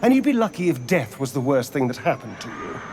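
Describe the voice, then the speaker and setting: An actor voicing a haughty, anxious vampire spawn on the run in a video game, theatrical and sardonic. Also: male voice, mid-range voice, sing-song, brisk, background noise. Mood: agitated scorn.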